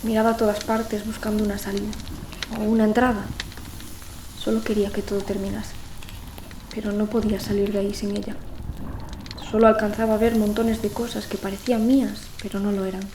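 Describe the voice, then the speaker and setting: A woman's voice: medium pitch, level tone, fairly quick, roomy sound, noisy background, natural voice. A young woman playing a lonely, frightened teenage girl who narrates her ordeal in an emotive audio drama. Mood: desperate, determined. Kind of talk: dramatic first-person audio fiction narration.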